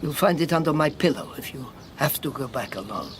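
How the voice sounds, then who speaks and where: An actor in a radio drama playing a sardonic, battle-worn European refugee with a lame leg, speaking haltingly with mocking formality. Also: male voice, on the high side, lively intonation, slight background noise, lightly acted. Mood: confiding, wry.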